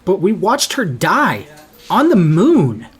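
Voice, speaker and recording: A flippant young male superhero in an audio drama. His husky voice swings widely in pitch as he blurts slangy, sarcastic lines. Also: huge pitch swings, slow pace, soft background music, heavily acted. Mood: shocked, insistent.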